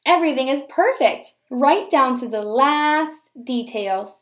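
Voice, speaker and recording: High-voiced, very animated woman reading a storybook. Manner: animated, deliberate storytelling for young children. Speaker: teacher reading aloud. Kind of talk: expressive children's picture book read-aloud.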